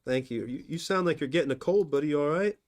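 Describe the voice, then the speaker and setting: A man's voice: fairly high pitch, quick pace. A man acting as game master, narrating combat and ruling on dice rolls in loose, chatty talk full of "you know". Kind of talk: running a tabletop game, casual narration.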